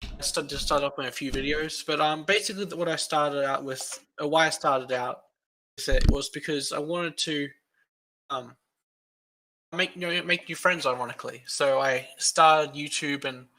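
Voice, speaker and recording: An Australian man chatting as a podcast guest. His voice sits a little high, with a gravelly, fry-laden edge and a bright, forceful tone. He rambles along, explaining things at length. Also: normal speed, rough recording. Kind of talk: casual podcast chat.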